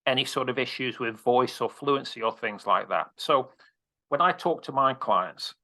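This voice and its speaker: Male speaking coach, bright voice, blunt and casual, a mid-range pitch. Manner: direct, casual, swears freely. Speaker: presentation coach. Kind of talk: interview answers, advice.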